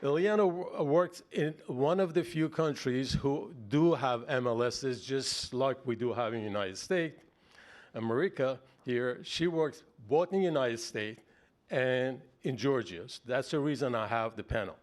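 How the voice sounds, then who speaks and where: A middle-aged man moderating a panel on a conference stage, talking with halting fillers into a podium microphone. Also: mid-range voice. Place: conference stage before an audience.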